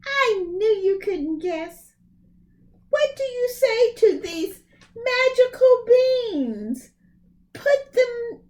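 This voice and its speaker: Woman doing an eager, high-pitched young storybook boy. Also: sing-song, very slow, a little mellow, exaggerated voice. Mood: excited. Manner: exclamatory, polite pleading. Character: bright, eager, cheeky young boy from a fairy tale.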